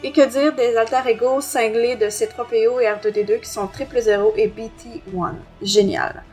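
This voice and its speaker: A woman's voice: a little high, steady tone, normal speed, darkish tone. A woman with a Quebec French accent co-hosting a review podcast. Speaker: podcast co-host. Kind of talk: casual review discussion, partly reading aloud. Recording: music under the voice.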